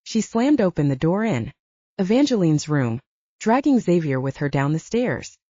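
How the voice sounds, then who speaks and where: Mellow, expressive female audiobook narrator. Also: on the low side, moderate pace, no room sound. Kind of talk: scripted dramatic fiction narration. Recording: slightly dull, dry close recording.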